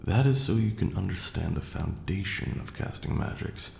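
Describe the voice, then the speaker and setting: A man playing an ancient vampire lord in an intimate ASMR roleplay, haughty yet tender, speaking formally and with measured care in a deep, gravelly murmur. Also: animated, darkish tone, exaggerated voice. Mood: amused, patient. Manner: measured, formal archaic diction, condescending yet doting. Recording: roomy echo, faint background noise.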